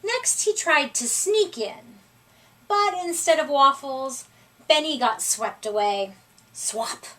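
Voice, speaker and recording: A woman reads a children's story with a high, very lively voice and deliberate delivery. She takes her time, expressively shaping each phrase, and sounds set back from the microphone. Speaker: storybook reader. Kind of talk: read-aloud children's story narration. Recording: distant microphone.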